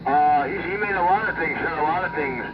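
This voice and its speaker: A man chatting over CB radio, rambling and halting as he jokes back at the other operator. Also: on the high side. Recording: muffled CB transmission with loud static.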